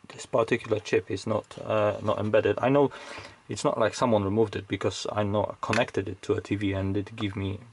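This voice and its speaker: A man's voice: fairly low pitch, little pitch movement, brisk, few pauses, husky. A man giving casual, off-the-cuff technical commentary as he hosts an electronics teardown, hesitantly thinking aloud as he goes.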